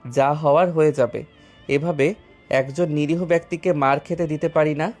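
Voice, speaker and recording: A man playing a calm, fearless young student hero in an audio story, with a composed, defiant manner. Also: on the high side, moderate pace, soft background music, natural voice. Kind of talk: dramatized audio story acting.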